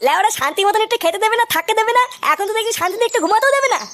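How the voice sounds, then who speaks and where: A woman's voice: extremely high pitch, animated, rapid-fire, a bit bright. A woman voicing a whiny, indignant young grandson in a comedy cartoon. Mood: whining. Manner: whining, indignant, cursing.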